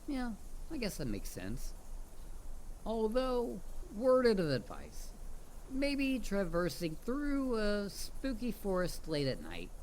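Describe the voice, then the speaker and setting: A man plays a mischievous headless horseman's talking head, chatty and casual. His fairly high voice swings dramatically up and down as he rambles at an unhurried pace. Faint noise and forest ambience sit behind him. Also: huge pitch swings, a little mellow, full character voice, poor audio. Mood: sheepish, friendly. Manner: casual, rambling, hesitant with stammered repeats. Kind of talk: audio roleplay addressed to the listener. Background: ambient forest sound effects.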